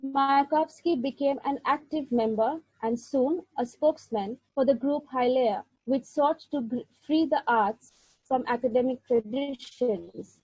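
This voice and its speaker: A woman lecturer with a fairly high, mellow, breathy voice, speaking in a measured, deliberate manner. She reads verse and narrates a lesson. The recording is dry and a little dull and lo-fi. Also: dry recording. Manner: measured, deliberate delivery with occasional slips. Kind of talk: illustrated lecture with poetry reading. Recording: dull, lo-fi sound.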